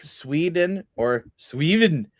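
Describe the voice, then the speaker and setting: A man in easy podcast banter, voice bouncing up and down as he riffs with his co-hosts. His tone sits a little high, and the dry sound of a close microphone carries it. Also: takes it slowly, no room sound. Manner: animated, loud when riled up. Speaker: podcast host. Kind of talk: casual sports chat.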